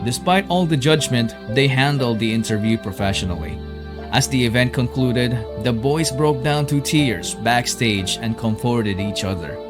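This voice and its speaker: A man's voice: medium pitch, animated, normal speed. A man reading scripted narration as a documentary narrator. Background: background music.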